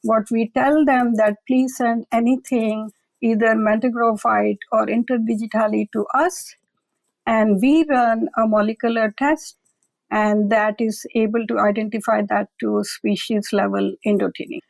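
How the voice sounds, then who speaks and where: A woman's voice: on the high side, steady tone, unhurried, creaky, no room sound, rough recording. A woman scientist from a public health lab, explaining in a plain-spoken, matter-of-fact way during an interview.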